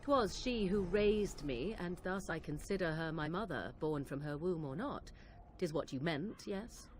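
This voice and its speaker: A woman's voice: lowish voice, expressive, unhurried, faint music behind. An actress playing a haughty young witch from the wilds, speaking in an arch, formal, sardonic way in a dramatic video game. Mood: arch, candid. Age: young adult.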